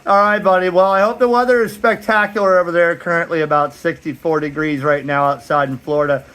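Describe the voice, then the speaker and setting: A man's voice: a little high, steady tone, fast talker, fairly bright tone, clear, resonant tone, low-quality recording. A man in a backyard talking casually like a vlogger and CB radio operator, folksy and friendly in radio jargon. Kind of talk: casual vlog narration and radio calls. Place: outdoors in a backyard.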